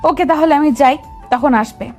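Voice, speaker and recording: A woman's voice: high-pitched, normal speed, darkish tone, soft background music, natural voice. A woman playing a bossy, teasing young sweetheart in a romantic audio story, scolding and coy. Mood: playfully bossy.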